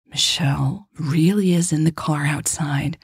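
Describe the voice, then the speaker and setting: Low, insistent woman, voiced by a narrator. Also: measured pace, husky, dry recording, studio quality, exaggerated voice. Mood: calming, earnest. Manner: insistent, pleading. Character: urgent, impatient but kind woman in a romance story.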